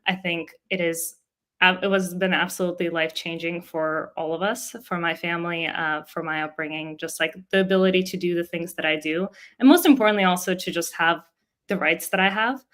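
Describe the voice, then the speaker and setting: A young woman from Russia, speaking English as a second language after Russian. She talks earnestly and openly as a podcast guest. Her voice sits a little low but sounds bright and forceful. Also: fairly even intonation, fairly quick. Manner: earnest and open, many "um" fillers. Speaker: guest, startup co-founder. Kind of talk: podcast interview, personal story.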